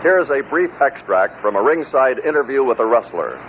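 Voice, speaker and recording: Man, scripted narrator, medium pitch, faint noise of an old radio recording. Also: expressive, normal speed, fairly bright tone, rough recording. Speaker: narrator of a blooper compilation.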